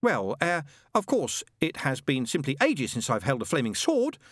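A man's voice: medium pitch, wildly swooping pitch, moderate pace, no room sound, clean studio sound, pitched above the performer's own voice. A man voicing a fussy, polite angel bookseller with a prim, hesitant manner in a storybook reading. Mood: polite, flustered.

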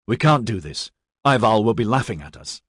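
A troubled young squad leader, voiced by a male narrator, speaks with strained earnestness and some defensiveness. The voice is husky and closely recorded without room sound. It belongs to a fantasy audiobook. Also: lowish voice, sing-song, normal speed, a bit bright, no echo, clean studio sound, full character voice. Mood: weary, defensive.

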